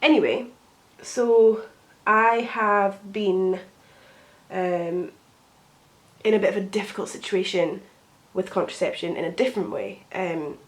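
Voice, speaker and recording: A woman's voice: medium pitch, a little slow, low-quality recording. A young woman with a cold, sounding sniffly, chatting in a rambling way with frequent ums as she does her makeup for a get ready with me vlog at home. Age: young adult. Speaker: beauty vlogger.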